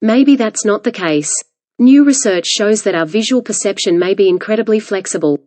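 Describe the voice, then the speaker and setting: A woman reads a prepared passage aloud in a careful, measured way. Her pitch sits in the middle range for a woman, and the sound is very dry, free of room echo. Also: mellow. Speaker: exam practice model reader. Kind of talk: read-aloud scripted narration.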